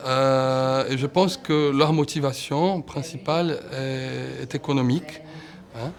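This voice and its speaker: Man, expert interviewee, hesitant, lots of fillers, mid pitch. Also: fairly even intonation, unhurried. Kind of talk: documentary interview.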